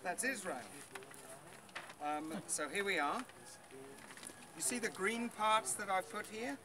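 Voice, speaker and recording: A man's voice: high-pitched, lively intonation, slow pace. A middle-aged man leading a group tour outdoors, chatty with many ums and quizzing his listeners. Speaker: tour guide. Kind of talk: outdoor guided tour talk. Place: outdoors by an old stone wall. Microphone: far camera. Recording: noisy outdoor recording.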